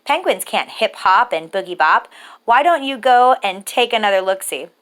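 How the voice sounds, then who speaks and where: A woman plays a bossy zookeeper man from a picture book. He is dismissive and scoffing, talking with brisk authority as if nobody should argue with him. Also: mid-range voice, expressive, fairly bright tone, natural voice. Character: dismissive, bossy male zookeeper in a children's storybook.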